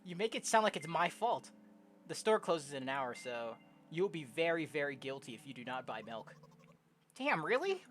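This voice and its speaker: A young woman casually commenting on a game and reading its text aloud in a slangy, expressive way. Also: rumbling low, moderate pace. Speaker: streamer playing a game. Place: own room at home.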